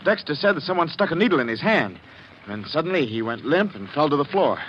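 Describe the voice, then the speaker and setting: Earnest flustered lieutenant, lively highish voice, 1940s radio drama. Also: male voice, quick pace. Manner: earnest, excitable, slightly flustered. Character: earnest, agitated male army lieutenant, murder witness. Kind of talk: 1940s radio mystery acting. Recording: muffled, hissy 1940s radio recording.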